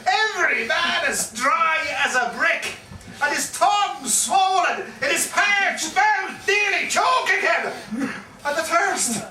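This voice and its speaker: An actor playing an excitable Irish pub yarn-spinner, theatrically repeating himself with relish in a live comic stage monologue. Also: male voice, very high-pitched, slow pace, full character voice. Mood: anguished, loud. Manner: theatrical, repeats phrases with relish and dramatic emphasis. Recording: echoey live pub recording, distant mic, faint noise.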